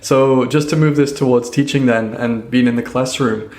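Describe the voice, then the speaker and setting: Flat-voiced male host in an echoey room. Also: mid-range voice, moderate pace. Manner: affirming and relaxed, with frequent "yeah" and fillers. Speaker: podcast co-host. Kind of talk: casual interview chat.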